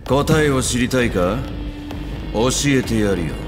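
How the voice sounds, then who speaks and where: A man voicing a cynical, rough-edged middle-aged security officer in a video game, talking coarsely with a sardonic drawl. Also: mid-range voice. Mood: grim, bitter. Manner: coarse casual speech, lazy sardonic drawl. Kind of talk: dramatic video game voice acting.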